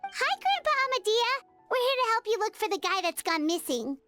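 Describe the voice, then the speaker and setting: A woman's voice: extremely high pitch, big pitch swings, brisk, sharp tone, heavily acted. An actress voicing a chatty, curious little companion girl in a video game who talks about herself in the third person. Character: tiny floating girl companion, chirpy and curious. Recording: faint game music behind.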